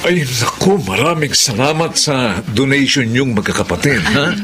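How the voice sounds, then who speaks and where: An actor playing a friendly, effusive foundation owner who repeats himself, in Tagalog radio-style drama. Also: male voice, medium pitch, animated, moderate pace, music in the background, natural voice. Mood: grateful, effusive. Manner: effusive, repeats thanks and words.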